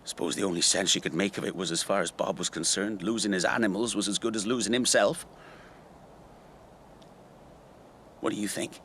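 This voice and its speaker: An actor playing a rueful rural man in a naturalistic radio drama, telling his memories in a halting, conversational way. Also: deep voice, fairly even intonation, fairly bright tone, slight background noise. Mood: sombre. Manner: halting, reflective, conversational storytelling. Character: rueful rural man recalling an old friend's tragedy.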